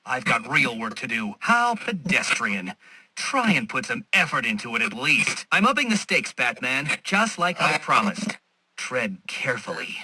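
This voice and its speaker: Video game villain: superior, taunting intellectual, piercingly bright edge, highly animated delivery, sarcastic and pompous. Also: male voice, a little slow, slight background noise, exaggerated voice, rough recording. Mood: sneering, dismissive, then menacing. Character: smug, condescending male genius villain, taunting and superior.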